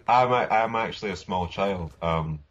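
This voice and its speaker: A man's voice: lowish voice, dull audio, slight background noise. A young man with a voice that sounds older than his age, joking and bantering in casual gaming commentary chat. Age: young adult. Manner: joking, banters and winds others up. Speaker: co-host.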